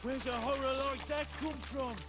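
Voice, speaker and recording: An actor playing a rough, startled foot soldier in a video game, exclaiming in alarm. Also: male voice, very high voice, steady tone, moderate pace, noisy background.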